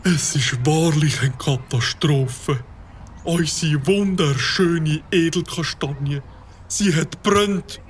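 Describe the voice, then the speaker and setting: A wordy old-fashioned bird-watcher. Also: male voice, on the high side, slow pace, slight background noise. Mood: earnest. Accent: Swiss German. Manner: pompous, long-winded lecturing. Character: fussy bird-watcher man, learned, fond and wordy.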